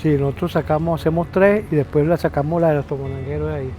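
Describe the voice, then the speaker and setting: A man's voice: fairly high pitch, steady tone, quick pace, very noisy. An older man with a Venezuelan accent from Lara, a local cook casually telling stories in an interview. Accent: Venezuelan, Lara state.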